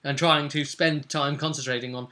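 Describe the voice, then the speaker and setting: A man with a bright, forceful voice hosts as game master. He recaps a tabletop session in a casual way. Key phrases get repeated for dramatic weight. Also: fairly high pitch, steady tone, normal speed. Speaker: game master and vidcast host.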